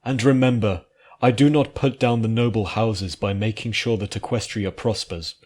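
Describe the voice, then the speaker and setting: A man voicing a regal female pony princess with a measured, imperious and solemn manner in a fantasy story. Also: on the low side, fairly even intonation, darkish tone, close to an everyday voice. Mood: solemn, gentle.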